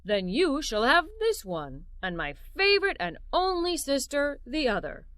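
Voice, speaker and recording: A woman voicing a sleepy, cheerful, music-loving uncle in a children's storybook, with a warm, enthusiastic delivery. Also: high-pitched, sing-song, unhurried, no room sound, clean studio sound, full character voice. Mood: generous, fond.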